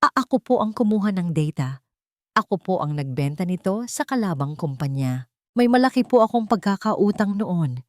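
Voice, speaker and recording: A woman's voice: medium pitch, very animated, moderate pace, darkish tone, no room sound, clean studio sound, natural voice. Woman voicing a trembling, frightened confessor. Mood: fearful. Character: frightened woman in her thirties, former assistant.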